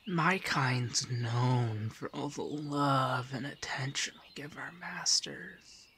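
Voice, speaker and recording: Man acting a slow, softspoken feminine monster boy. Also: medium pitch, a little hiss or hum. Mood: tender, coaxing. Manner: breathy, often dropping to a whisper. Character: doting, teasing feminine male monster companion, softspoken. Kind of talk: softspoken ASMR roleplay.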